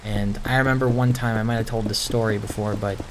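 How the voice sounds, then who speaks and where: A man giving a casual, introspective monologue over gameplay, speaking in a halting, musing way and repeating phrases for emphasis. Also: fairly low pitch, fairly even intonation, a little hiss or hum, rough recording. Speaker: host of a gaming video series.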